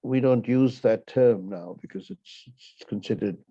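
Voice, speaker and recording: A man co-hosting over a video call, talking in a hesitant, halting way with many restarts. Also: medium pitch, warm tone, breathy edge, muffled recording. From United States. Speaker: co-host. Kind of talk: casual chat with a guest.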